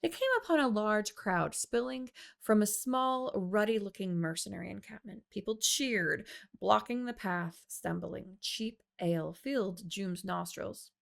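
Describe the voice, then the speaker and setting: Female audiobook narrator, mid pitch, noticeably creaky voice, scripted fiction read. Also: a little slow. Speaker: author reading own audiobook.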